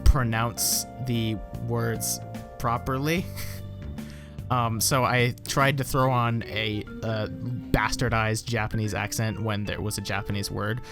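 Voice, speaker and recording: A man's voice: fairly low pitch, level tone, slow, over music. A young American man, a YouTuber, rambling and self-deprecating as he reacts to his old videos, swearing casually. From United States.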